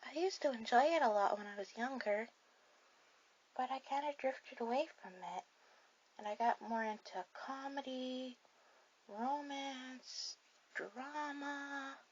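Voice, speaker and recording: A woman voicing a shy, sweet, bookish girl on a first date in an ASMR roleplay, speaking softly and hesitantly to the listener. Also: high voice, lo-fi sound, slight background noise. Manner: soft, hesitant, halting delivery.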